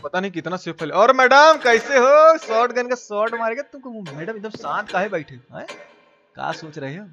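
A man's voice: high-pitched, expressive, normal speed, sharp tone, lo-fi sound, faint music behind. A man from India with a Bihari accent gives casual, colloquial live gameplay commentary peppered with swearing. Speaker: game streamer. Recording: clearly noisy background.

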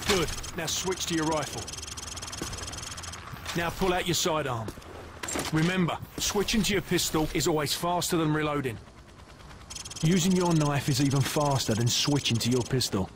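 A man's voice: a little high, normal speed, pauses often, noisy background, close to an everyday voice. A man playing a matey, plain-spoken British special forces instructor in a video game. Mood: brisk, instructive. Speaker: military firearms instructor. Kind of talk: video game dialogue, giving drill instructions. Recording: loud gunfire and clatter behind voice.

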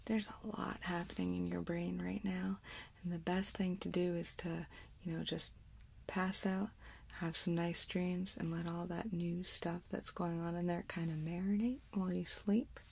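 A woman in her thirties doing a soft-spoken, partly whispered ASMR exam role play, giving slow, gentle instructions close to the microphone. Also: lowish voice, flat delivery. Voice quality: breathy, whispery. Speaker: ASMR performer playing an examiner.

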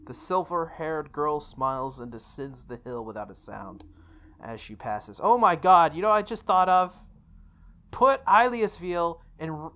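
A woman's voice: fairly low pitch, a bit bright. A woman reading visual novel narration aloud, with casual, chatty asides.

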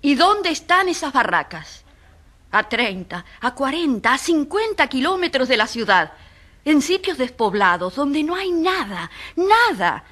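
High, bright-voiced indignant woman advocate. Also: very animated, unhurried, full character voice. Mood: bitter, indignant. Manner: emphatic, building lists with rhetorical repetition. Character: passionate, indignant woman defending slum dwellers. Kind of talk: radio drama acting.

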